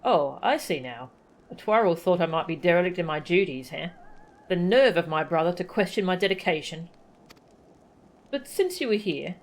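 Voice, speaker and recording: A woman voicing a haughty, breezy young nobleman knight from a fantasy game, with drawling, old-fashioned aristocratic phrasing. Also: lowish voice. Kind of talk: reading game dialogue aloud in character. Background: game music.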